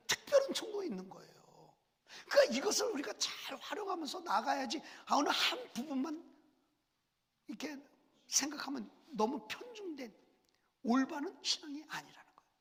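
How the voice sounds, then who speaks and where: Animated, gravelly-voiced preacher with a cold. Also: male voice, extremely high pitch. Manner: preaching, asks the congregation questions. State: has a cold with a cough. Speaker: senior pastor. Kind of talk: sermon. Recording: long church reverb.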